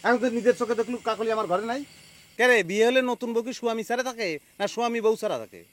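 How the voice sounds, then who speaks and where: A man's voice: very high voice, steady tone, rapid-fire, fairly bright tone. An actor playing a harried, touchy young village man in a comedy drama, arguing with rhetorical questions. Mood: indignant, accusing. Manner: argumentative, full of rhetorical questions. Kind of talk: Bengali TV comedy drama acting.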